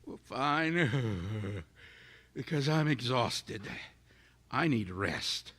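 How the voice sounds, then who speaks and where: Man playing a grandiose, megalomaniac scientist, animated and bright. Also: mid-range voice, extremely slow, full character voice. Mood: weary, impatient. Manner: grandiose, theatrical, stammers when excited. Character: megalomaniac invisible male scientist, fervent and condescending. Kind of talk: stage radio-drama acting.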